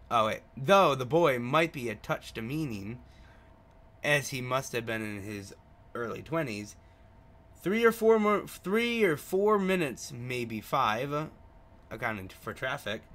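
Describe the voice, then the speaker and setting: A man's voice: medium pitch, very animated, unhurried, a bit bright. A man reading a visual novel aloud as a gaming video host, stumbling over words and adding his own asides. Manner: stumbles over written words, rereads lines.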